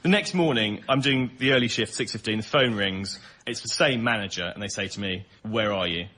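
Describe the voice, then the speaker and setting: A man's voice: fairly low pitch, fairly quick, bright, forceful tone, slight background noise. An English man telling comic anecdotes in a chatty, self-deprecating way as a panel guest.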